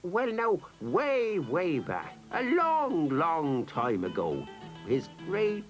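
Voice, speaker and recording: A man's voice: on the high side, huge pitch swings, drawn-out words, faint music behind, exaggerated voice. An actor playing a kindly, teasing old Irishman with an Irish accent who keeps a donkey and spins tall tales for children, in a children's drama. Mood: storytelling. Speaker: storyteller character.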